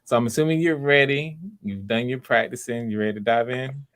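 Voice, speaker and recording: A man's voice: medium pitch, brisk, a bit bright. A man casually hosting live online auditions, chatting in an encouraging, affirming way. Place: remote live video stream.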